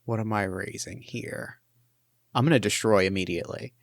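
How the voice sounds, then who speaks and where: A man's voice: on the low side, normal speed, no room sound, studio quality. A man running a tabletop actual-play game as game master, talking with enthusiasm, swearing freely and often restarting his sentences. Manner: enthusiastic, profane, stumbles over restarts.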